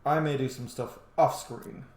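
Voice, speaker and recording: A man's voice: medium pitch, steady tone, a little slow. A man hosting a video game playthrough, commenting informally, swearing and thinking aloud. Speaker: gaming video host. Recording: roomy echo, game music behind.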